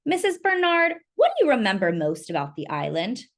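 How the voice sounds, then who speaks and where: Woman doing a kind big-cousin girl from a picture book, lively voice. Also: fairly high pitch, fairly quick. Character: helpful older girl cousin.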